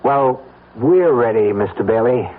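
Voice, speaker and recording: Cold male conspirator, husky and pushy, radio mystery on a thin narrow-band recording. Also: medium pitch, expressive, a little slow. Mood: menacing, insistent. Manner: insistent, menacing. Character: cold conspirator pressing an associate toward murder. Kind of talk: radio drama acting.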